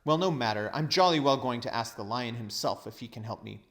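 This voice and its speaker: An earnest storybook boy, played by a grown man, lifted a little above a normal male pitch. He is hot-tempered, urgent and impassioned. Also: lively intonation, a little fast, fairly bright tone. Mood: angry, defiant. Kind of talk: storybook read-aloud character voice.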